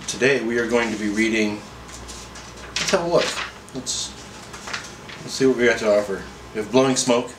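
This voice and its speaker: A middle-aged man in a folksy, unhurried manner, chatting casually and reading a story aloud. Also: fairly low pitch. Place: small indoor room. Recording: roomy echo, faint background noise.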